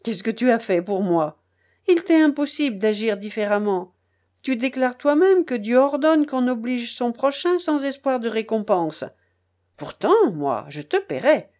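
A woman's voice: a little high, sing-song, measured pace, a little mellow. A woman voicing an angry, domineering grown man who calls himself a prince, in a scolding tirade from a storybook reading. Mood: indignant.

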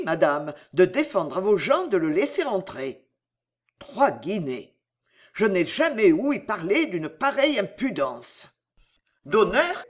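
Storybook role: scandalized spendthrift gentleman, pompous and emphatic, with animated intonation woman narrator acting it out. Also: mid-range voice. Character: indignant, self-important spendthrift gentleman husband. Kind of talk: audiobook character voice.

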